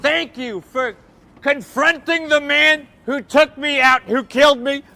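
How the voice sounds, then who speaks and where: A man's voice: extremely high pitch, measured pace, pushed and bright, heavily acted. A man doing an impression of a boastful older politician, repeating himself in a taunting, wheedling way. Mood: boastful, mocking. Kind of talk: street prank heckling in character.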